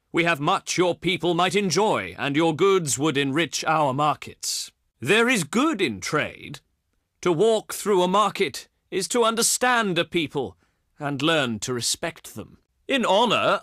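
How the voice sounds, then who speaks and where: A proud ancient Greek envoy for a strategy game, grandiose and shrewd. The voice is forceful and on the high side for a male, swooping theatrically through each deliberate, declaimed phrase. He sounds pompous and formal, like a statesman bargaining from strength. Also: dry recording, clean studio sound, heavily acted. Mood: courting, gracious. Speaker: video game voice actor.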